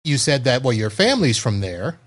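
A man's voice: mid-range voice, very animated, fairly quick. A man from Florida hosting a podcast over a video call, chatting casually, joking and laughing often. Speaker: podcast host. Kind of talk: casual interview chat.

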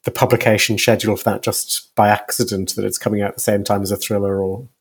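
A man hosting a conversational podcast interview, often rephrasing questions haltingly as he goes. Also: fairly low pitch, flat delivery, breathy edge.

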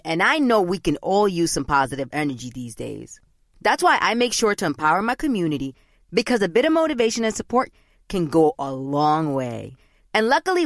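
A woman's voice: fairly low pitch, no echo, studio quality. A woman podcast host giving an upbeat, encouraging ad read. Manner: warm, encouraging, casual.

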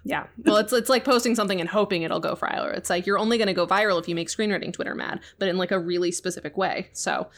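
A woman's voice: mid-range voice, quick pace, hardly any pauses, fairly bright tone. A woman hosting a casual interview, wry and joking as she sets up her questions.

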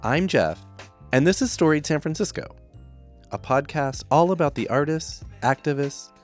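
A man's voice: a little high, animated, moderate pace, soft background music. An American man hosting an interview podcast in a conversational style. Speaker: podcast host.

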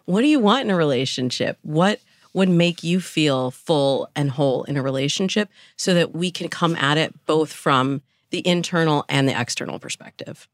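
A woman giving articulate, measured explanations as a dating coach in a podcast interview. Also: low voice, animated, no room sound, studio quality.

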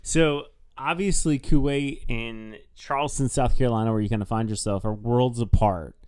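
A man's voice: mid-range voice, normal speed, darkish tone, clear, resonant tone, no echo, studio quality. A man hosting a podcast who introduces his guest in an upbeat, joking way. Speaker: podcast host. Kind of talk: casual interview chat.

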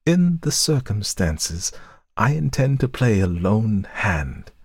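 A man voicing a calm, cold foreign woman in an audiobook, quiet and deliberate, with hatred smoldering underneath. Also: medium pitch, breathy edge, no echo, clean studio sound, pitched above the performer's own voice. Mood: cold, controlled. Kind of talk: audiobook character voice.

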